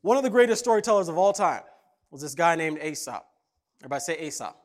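Lively conversational preacher man. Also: fairly high pitch, fast. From Kenya. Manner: conversational, jokey, animated. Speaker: pastor. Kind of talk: casual sermon with stories.

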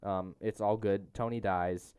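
A man's voice: fairly low pitch, fairly even intonation, measured pace, a little mellow, smooth, dry recording, studio quality. A young man hosting a casual movie recap chat, a bit bossy and exasperated with his co-hosts. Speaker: podcast host.

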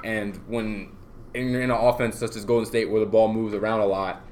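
A man's voice: fairly low pitch, flat delivery, a little fast, a little mellow. A man co-hosting a podcast, debating sports casually and repeating his phrases to hold the floor. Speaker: podcast co-host. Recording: slightly noisy room.

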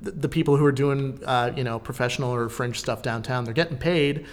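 A man's voice: mid-range voice, fairly quick. A man in casual conversation as a podcast guest host, rambling and slangy. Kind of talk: casual chat.

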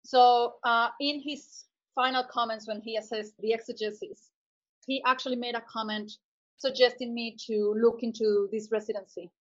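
A woman from Mexico, speaking thoughtfully in an interview and often pausing with fillers as she searches for words. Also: a little high, steady tone, highs cut off. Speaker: artist guest. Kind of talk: conversational interview.

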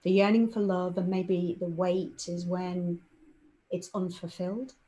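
A group participant answering quietly and tentatively, reflecting on a poem. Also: mid-range voice, flat delivery, measured pace, rough recording.

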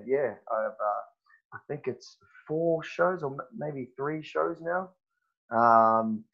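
Easygoing New man, dull lo-fi sound. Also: mid-range voice. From New Zealand. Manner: halting, many fillers and restarts, says "man" and "bro". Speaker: guest, personal trainer. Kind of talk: casual podcast chat.

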